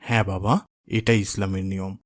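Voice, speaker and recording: A man playing a pious, kindly father in a cartoon, gentle and instructive with his son. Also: low-pitched, flat delivery, fairly quick, dry recording, clean studio sound, lightly acted. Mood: gently instructive. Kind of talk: cartoon drama voice acting.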